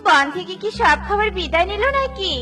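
A woman's voice: very high voice, brisk, sharp tone. A woman voicing a small young female bird in a children's cartoon, chirpy, caring and full of earnest questions, with music behind her. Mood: chirpy, concerned. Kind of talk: Bengali children's cartoon dialogue.

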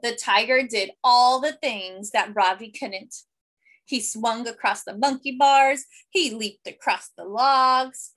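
Slow, higher-voiced woman reading a storybook. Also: a bit bright. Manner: deliberate, simple phrasing with emphasis for children. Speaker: school staff reading a picture book aloud. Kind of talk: expressive children's storybook read-aloud. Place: small indoor room.

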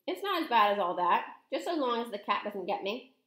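A woman plays a little storybook boy turned mouse. He sounds high, carefree and greedy, as if grinning all the while, in a slightly echoey room. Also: big pitch swings, brisk, a bit bright. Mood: cheerful.

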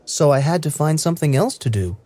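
Brief: male reader for learner audio, careful diction, lively intonation, dry booth sound. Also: on the high side, fairly quick, dry recording. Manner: clear, careful, evenly paced delivery. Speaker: English listening practice reader. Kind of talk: slow scripted reading for learners.

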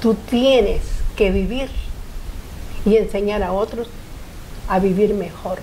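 A woman's voice: medium pitch, slow pace, warm tone, a little hiss or hum, low-quality recording. An older woman from Honduras, a guest in a TV studio interview, speaking earnestly in short reflective phrases. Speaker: interview guest, foundation founder. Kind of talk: personal interview. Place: TV studio set.

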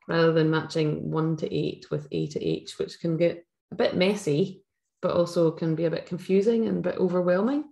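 A woman's voice: low voice, vocal fry, mellow. A middle-aged woman with a Scottish accent presents a teaching webinar over a video call, explaining activities in a friendly way with many fillers. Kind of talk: live webinar talk. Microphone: webcam video call.

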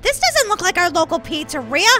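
A woman plays an excitable young big sister with an extremely high, girlish voice. She is chatty and dramatic, exclaiming in an exaggerated way as in a children's toy story, with prominent music behind her. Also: brisk. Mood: nervous chatter. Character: dramatic, excitable big sister girl Molly, kid's toy-play character.

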